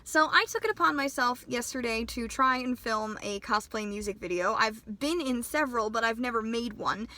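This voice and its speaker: A woman's voice: on the high side, animated, normal speed, hardly any pauses, pushed and bright. A woman in her twenties chatting casually in a vlog, her voice still off from a sinus infection, friendly and self-deprecating. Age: 20s. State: recovering from a sinus infection, voice not back to normal. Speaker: cosplay vlogger.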